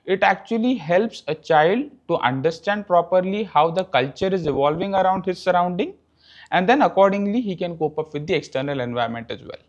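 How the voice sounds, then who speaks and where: A young professor from India, a man with an Indian English accent and Marathi as his first language, talks with a slightly raised pitch. He explains step by step and drops a quick "okay" after his points. The recording is a bit dull and lo-fi. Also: lively intonation. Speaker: guest, professor. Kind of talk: interview answers.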